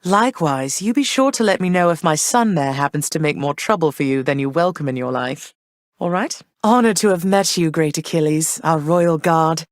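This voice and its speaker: An actress voicing a gentle, gracious queen of the underworld who speaks to her son and household with warm, reassuring motherly care in game dialogue. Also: sits low, lively intonation, moderate pace, dry recording, clean studio sound. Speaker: game character. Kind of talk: game voice lines.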